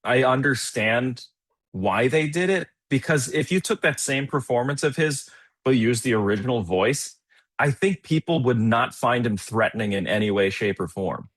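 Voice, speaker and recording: A man with a husky, mid-pitched voice, recorded close and dry. He co-hosts a casual film chat, thinking aloud in slangy, conversational phrases. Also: expressive, moderate pace, a bit bright, no room sound. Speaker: movie podcast co-host.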